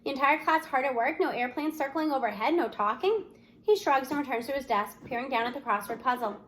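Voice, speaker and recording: High-pitched woman reading a story aloud. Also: animated, a little fast, fairly bright tone, slight background noise, low-quality recording. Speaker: audiobook-style reader. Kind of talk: expressive read-aloud of a children's novel, first-person student narration.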